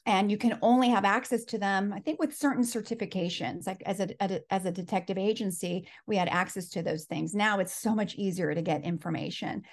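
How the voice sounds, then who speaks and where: A woman's voice: mid-range voice, brisk, few pauses. A woman in her forties, a life coach whose first language is Spanish, telling her story earnestly over a home video call. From Los Angeles. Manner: earnest, reflective storytelling with frequent laughs. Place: home office. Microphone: video call webcam.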